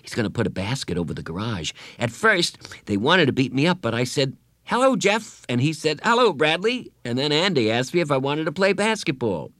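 A man doing a jittery young schoolboy from a storybook, pitched well up and gushing with eager, whiny questions. The voice leaps up and down constantly. It sounds dry and close, with no room around it. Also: sing-song, fairly quick, a bit bright, dry recording. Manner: high, eager, tearful and whiny. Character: anxious excitable young schoolboy. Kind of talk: audiobook character voice.

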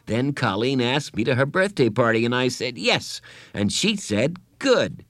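A man voicing an anxious, excitable young schoolboy in an audiobook, high, eager and whiny. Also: a little slow, no echo. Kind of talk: audiobook character voice.

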